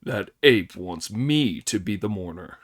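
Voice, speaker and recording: A man's voice: lowish voice, lively intonation, unhurried, no room sound, studio quality. A man reading an audiobook, voicing a lazy, sly male pig-spirit who mocks and grumbles. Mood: mocking. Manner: mocking, grumbling.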